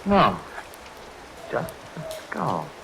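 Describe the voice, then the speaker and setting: A dismissive, no-nonsense husband in a vintage radio drama. He speaks briskly with lively pitch movement. The old recording is noisy, with rain behind. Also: vocal fry, full character voice. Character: Carl, brisk skeptical estate owner, dismissive husband. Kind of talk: 1940s radio drama acting.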